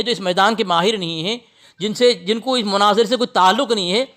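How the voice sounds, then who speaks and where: A man's voice: high voice, pushed and bright. A man arguing religion on a phone call in a combative, abusive, lecturing way, repeating his phrases for emphasis. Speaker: religious debater. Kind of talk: polemical religious argument over a phone call.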